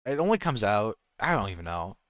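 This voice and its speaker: A man's voice: lowish voice, big pitch swings, a little fast, fairly bright tone, no room sound. A man with an American accent chatting casually as a game streamer, rambling and jokey, often correcting himself mid-thought. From United States. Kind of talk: casual livestream chat.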